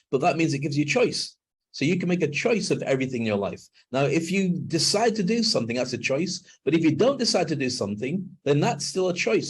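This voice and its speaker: An older man speaking as a guest on a video call interview, emphatic and animated when making a point. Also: sits high, slightly rough. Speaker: guest, NLP trainer and speaker.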